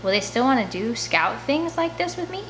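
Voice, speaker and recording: A woman's voice: on the high side, sing-song, moderate pace, fairly bright tone, slight background noise. A woman reading a first-person children's novel aloud as an audiobook, telling the story expressively. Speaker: audiobook reader.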